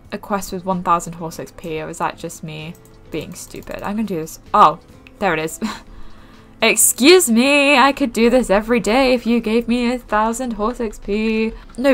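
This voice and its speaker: Young woman streamer, mid-pitched, flat and rambly, faint game music behind. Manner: self-described monotone, rambling with fillers. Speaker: gaming channel host. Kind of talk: casual gameplay commentary.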